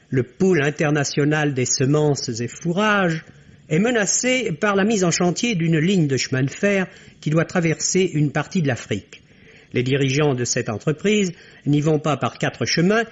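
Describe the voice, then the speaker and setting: A man reading a scripted film review in the formal, wry manner of 1950s French radio. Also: fairly high pitch. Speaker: film critic. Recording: dull vintage radio recording.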